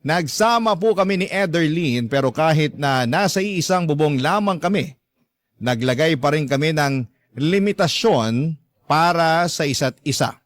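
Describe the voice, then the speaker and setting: A man's voice: fairly high pitch, animated, measured pace, dry recording, clean studio sound. A man with a Filipino accent playing a young letter-sender, narrating his story politely and reflectively in a radio drama. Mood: hopeful. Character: young man confessing his story, wounded yet hopeful.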